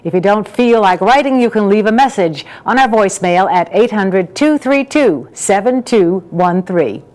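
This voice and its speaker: A woman's voice: mid-range voice, expressive, moderate pace, darkish tone. A woman speaks as a radio co-host in a medium register. She reads announcements to her audience in a presenter's style. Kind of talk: reading contact announcements to listeners.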